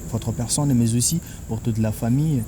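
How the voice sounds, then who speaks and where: A man's voice: mid-range voice, moderate pace, noisy background. A man hosting a live sports interview, speaking hesitantly with many fillers. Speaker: sports show host. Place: outdoor stadium.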